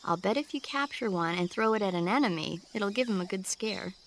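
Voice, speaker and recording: A helpful, well-informed radio support officer played by an actress with a medium-pitched female voice. She explains carefully, like a field guide in a video game, over faint noise. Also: animated, a little fast, natural voice. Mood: informative. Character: bright-minded, knowledgeable female radio support officer, helpful, easily disgusted.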